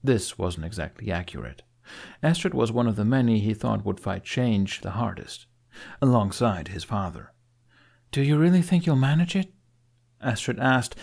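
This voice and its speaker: Low, gravelly male audiobook narrator. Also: a little mellow. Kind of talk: scripted fiction narration.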